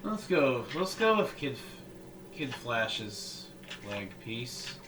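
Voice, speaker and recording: A man's voice: mid-range voice, big pitch swings, slow pace, noisy background. A man hosting a casual gaming video, thinking aloud as he builds a character and often restarting his sentences. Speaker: gaming channel host. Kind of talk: casual let's-play commentary. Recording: echoey room, voice far from mic, game music behind. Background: game music.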